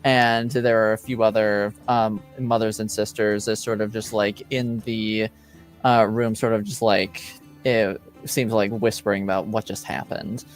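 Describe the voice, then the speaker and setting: A man's voice: on the low side, little pitch movement, unhurried, soft background music. A man improvising narration as game master of a tabletop game, searching for his words as he goes.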